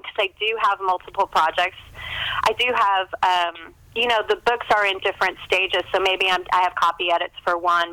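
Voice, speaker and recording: A woman's voice: lowish voice, bright, forceful tone, no room sound. A middle-aged woman talking in a casual, chatty, self-deprecating way, as an interview guest on a phone call. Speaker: author being interviewed.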